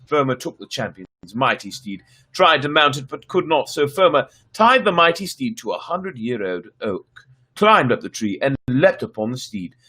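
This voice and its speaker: Husky-voiced man telling stories, lively delivery. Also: medium pitch, normal speed. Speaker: storyteller and livestream host. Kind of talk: dramatic fairy tale reading with chatty asides.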